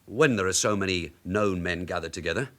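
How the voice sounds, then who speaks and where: An actor playing a shrewd veteran police detective sergeant in a radio drama, measured and deferential while he probes. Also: low voice, fairly bright tone. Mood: polite, probing. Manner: measured, deferential but probing questioning. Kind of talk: naturalistic radio drama acting.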